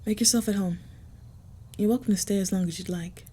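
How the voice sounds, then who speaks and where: A woman playing a protective, smitten female vampire in an intimate roleplay audio, speaking softly, gently and a little hesitantly. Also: medium pitch, fairly quick, husky, close to an everyday voice. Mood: gentle, awkward.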